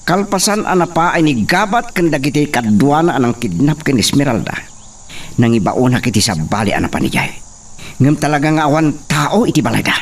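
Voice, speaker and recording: Casting: male actor as young wealthy master, confrontational, very animated delivery, heard a bit distant over noise. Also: a little high, fairly quick. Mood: stern, suspicious. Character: angry, threatening young rich master. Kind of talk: Ilocano radio-style audio drama acting.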